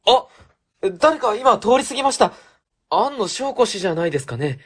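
A woman's voice: fairly high pitch, sing-song, normal speed, breathy edge. A woman playing a young, level-headed office subordinate in a comic radio drama, polite and matter-of-fact. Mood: puzzled, correcting.

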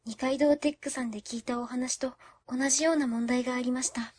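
A woman's voice: high voice, fairly even intonation, brisk, a little mellow, slightly rough, no echo, studio quality. A woman playing a shy, polite young office worker who reports formally in a radio drama. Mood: subdued, serious.

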